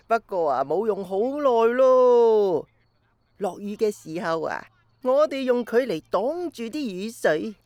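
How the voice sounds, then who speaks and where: An elderly Hong Kong Cantonese grandmother in a radio play, gentle and nostalgic. She speaks very slowly in a fairly high voice, spinning wistful, playful tales for a little child. The sound is dry and close. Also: sing-song, dry recording, exaggerated voice. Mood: fond, amused. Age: older. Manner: slow, wistful storytelling to a small child. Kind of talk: radio drama acting.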